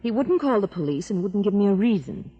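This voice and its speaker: A woman's voice: mid-range voice, lively intonation, fairly quick, dark tone, slightly rough, muffled recording. An actress playing a composed, polite wife of a wealthy man, in restrained 1940s radio drama style. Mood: polite, concerned.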